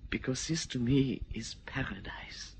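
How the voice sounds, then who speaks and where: An actor playing a nervous, earnest German émigré tutor with a German accent, speaking softly and stammering. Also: male voice, medium pitch, expressive, measured pace, slight background noise, close to an everyday voice. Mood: sincere. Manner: soft, hesitant, stammering. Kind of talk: stage drama acting.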